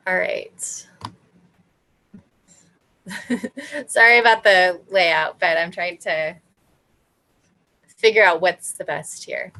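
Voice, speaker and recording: Bright, slow-talking event host woman. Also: on the low side, rough recording. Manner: bright, upbeat, reads bios aloud. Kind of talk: introducing presenters at an online event.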